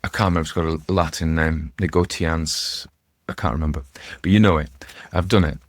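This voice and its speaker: A man's voice: extremely low pitch, fairly even intonation, fairly quick, no echo. A man giving rambling, informal literary commentary as a host, musing and breaking off his own sentences, with wry asides. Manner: musing, self-interrupting, conversational. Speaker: host and narrator of ghost story readings.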